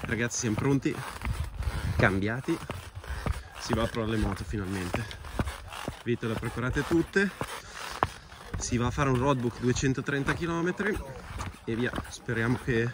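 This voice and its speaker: Man, Italian accent, upbeat vlog talk, middle pitch, outdoor noise. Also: moderate pace, pauses often. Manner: upbeat, talks straight to viewers. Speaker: rally rider vlogger. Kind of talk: casual vlog commentary. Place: outdoors on a gravel yard and trail.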